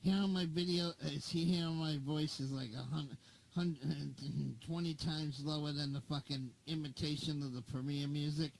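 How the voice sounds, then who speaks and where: A man's voice: on the high side, steady tone, normal speed, vocal fry, rough recording. A man who hosts a channel, ranting in a casual monologue, swearing a lot and repeating himself. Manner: profane, repetitive ranting. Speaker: channel host.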